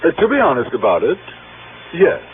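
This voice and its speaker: An actor playing a prissy, self-important but timid office manager in a mannered old-time radio style. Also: male voice, medium pitch, huge pitch swings, a little fast, crowd noise. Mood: pleading. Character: fussy, self-important, timid male insurance branch manager. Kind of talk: 1950s radio drama acting. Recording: narrow, noisy old radio recording.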